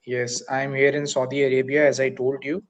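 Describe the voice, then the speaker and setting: A man from Pakistan with a Pakistani accent, an English teacher chatting politely on a video call from a school, with frequent pauses and fillers. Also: mid-range voice, flat delivery. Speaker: English teacher, channel host. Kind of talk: casual video-call conversation. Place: school, indoors.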